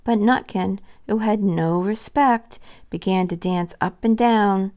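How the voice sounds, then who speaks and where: A woman reads a children's storybook aloud as its narrator. Her voice sits at a medium pitch with noticeable fry in it. The delivery is scripted story narration. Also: slow pace, a little mellow. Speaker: storybook reader.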